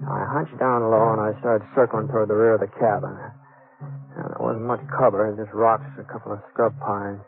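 A muffled 1950s radio western recording of a calm cowboy drifter. His voice sits fairly low, and he talks in a folksy, halting way, repeating words as he reasons things out. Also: vocal fry, soft background music. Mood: tense, hushed narration. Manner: hesitant, folksy drawl with stammered repeats. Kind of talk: 1950s radio western acting and first-person narration.

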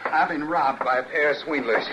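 A man's voice: a little high, normal speed, close to an everyday voice. A man playing a greedy, indignant American frontier schemer, whining and blustering in an old-time radio western. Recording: muffled, hissy old radio broadcast.

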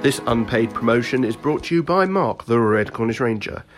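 A man's voice: mid-range voice, expressive, normal speed, music in the background. A man presenting a casual, tongue-in-cheek podcast network promo.

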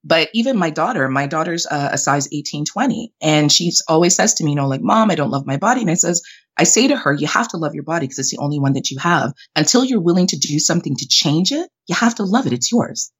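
A woman's voice: low voice, expressive, fast talker, breathy edge. A middle-aged woman born in Jamaica and raised in Canada, a guest talking earnestly in a casual interview with frequent fillers.

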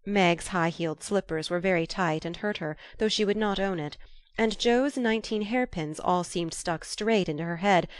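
A woman's voice: fairly low pitch, normal speed, no room sound. A woman reading a classic novel aloud as scripted audiobook narration.

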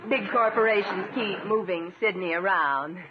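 A woman's voice: mid-range voice, normal speed, close to an everyday voice. A woman playing a gracious, cheerful sister and hostess in a 1950s radio comedy. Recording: dull, faintly noisy 1950s radio recording.

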